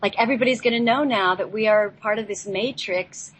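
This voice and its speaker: A woman telling a personal story on a talk show in a halting, reflective way, picked up by a laptop microphone. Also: medium pitch, husky. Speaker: guest telling their life story. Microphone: laptop built-in microphone, not headset. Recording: noisy, off-mic laptop microphone.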